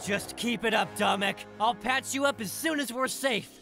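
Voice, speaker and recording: The high, drawn-out voice of the faithful beast comrade at your side in a fantasy game battle, as a man reads him aloud, ringing through a great hall. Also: vocal fry, bright, forceful tone, heavily acted. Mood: urgent. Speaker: visual novel character voice. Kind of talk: acted dialogue read in a let's play. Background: background music.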